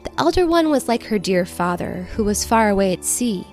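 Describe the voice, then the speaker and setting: A woman's voice: mid-range voice, sing-song, brisk, darkish tone. Woman reading a story over faint music. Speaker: story reader. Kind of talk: scripted storybook narration.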